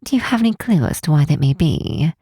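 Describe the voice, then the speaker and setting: A woman voicing a curious, teasing half-elf tower master in a fantasy ASMR roleplay, speaking softly in an unhurried, formal, old-fashioned way. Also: low-pitched, very animated, no room sound, heavily acted. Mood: pensive, curious. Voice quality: soft, breathy, husky.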